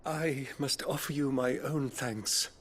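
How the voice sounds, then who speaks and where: Kindly priest, solemn, soft-spoken, slow and measured, game cutscene. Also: male voice, medium pitch, fairly even intonation, slight background noise. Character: gentle older male abbey priest, solemn and kindly. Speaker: priest tending the dying. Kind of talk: video game cutscene acting.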